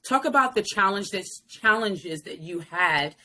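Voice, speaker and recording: A woman's voice: mid-range voice, lively intonation, a little slow, sharp tone, slight background noise. A woman in her late forties hosting an interview, asking frank, thoughtful questions in casual conversation. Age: late 40s. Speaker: podcast host.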